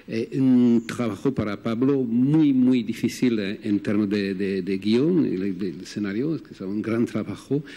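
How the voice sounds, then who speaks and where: A film producer with a French accent, a native French speaker, speaking halting Spanish at a press conference and searching for words. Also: male voice, mid-range voice, warm tone.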